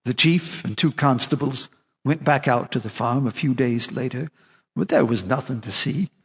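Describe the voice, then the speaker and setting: An actor playing an old American farmer, bitter and unhinged, telling of horrors in a folksy, intense audio drama style. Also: male voice, mid-range voice, moderate pace, breathy edge. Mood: grim, haunted. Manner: folksy, intense storytelling with theatrical swells.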